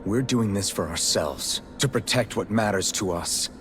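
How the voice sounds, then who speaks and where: A man's voice: on the low side, normal speed, a bit bright, a little hiss or hum, close to an everyday voice. A man playing a stubborn, protective young hero in a video game, speaking bluntly and earnestly. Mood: firm, determined. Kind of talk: scripted video game voice acting.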